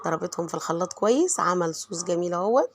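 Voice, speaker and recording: Middle-aged Egyptian woman, home vlogger with a cold, hoarse and quick, chatty and warm toward her viewers. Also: fairly low pitch, animated, a little hiss or hum. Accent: Egyptian Arabic. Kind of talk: chatty voice-over narration of home cooking.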